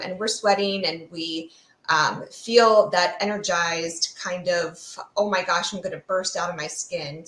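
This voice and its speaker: Woman, slightly low voice, roomy echo, conversational expert explanation, thoughtful and hesitant. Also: fairly bright tone. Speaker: sociologist, expert guest. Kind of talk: conversational science explanation in an interview. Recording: roomy, echoey room sound.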